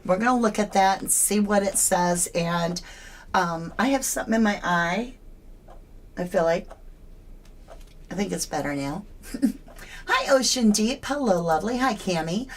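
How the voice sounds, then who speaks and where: A woman's voice: mid-range voice, expressive, a little slow, pauses often. A woman hosting a true crime live stream, chatting casually and greeting her viewers by name. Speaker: true crime livestream host.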